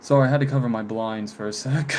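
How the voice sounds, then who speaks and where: A man in his mid-twenties chatting casually on a live stream, halting and reflective, often trailing off. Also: mid-range voice, steady tone, a little mellow, slight background noise. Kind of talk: casual live stream chat answering viewers. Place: room with window blinds, indoors.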